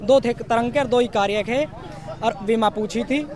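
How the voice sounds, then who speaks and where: A young man with a western Uttar Pradesh accent giving an informal, halting street interview as an exam candidate, repeating and rephrasing as he recalls. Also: extremely high pitch, darkish tone. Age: young adult.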